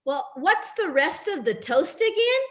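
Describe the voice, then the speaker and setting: A woman's voice: very high voice, sing-song, a little slow, heavily acted. A woman playing a hospitable, sociable bartender in a radio-style play, with a chatty, welcoming way of talking. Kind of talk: radio-play style readers theatre acting.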